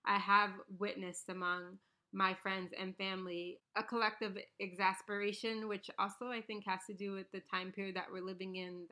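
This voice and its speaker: A woman's voice: medium pitch, sharp tone. A woman from the Bahamas hosting her own podcast from home, talking in an earnest, reflective, conversational way. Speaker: podcast host. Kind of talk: casual solo podcast talk with a read-aloud quote. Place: home, recorded around napping children.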